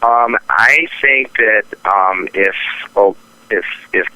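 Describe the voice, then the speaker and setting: A man's voice: fairly low pitch, takes it slowly, pushed and bright, no echo. A man giving market commentary as a phone interview guest, hesitant and repetitive but opinionated, on a dull phone line. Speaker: trading firm president, interview guest. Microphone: telephone.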